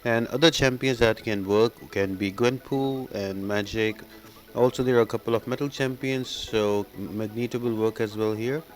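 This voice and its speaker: A man's voice: fairly low pitch, steady tone, moderate pace. A man giving casual, instructional commentary as he explains a gameplay walkthrough step by step. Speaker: game guide video host.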